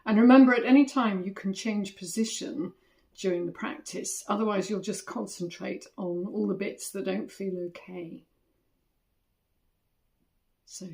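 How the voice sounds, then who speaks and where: A woman meditation teacher leading a guided body scan in a gentle, soothing manner, with long pauses between short phrases. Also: mid-range voice, gravelly.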